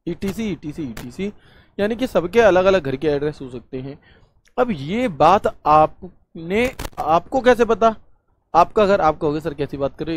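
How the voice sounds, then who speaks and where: A man teaches an exam-prep computer lesson. He repeats key points and asks questions before answering them himself. His delivery is classroom-style and mixes in motivational pushes. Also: a little high.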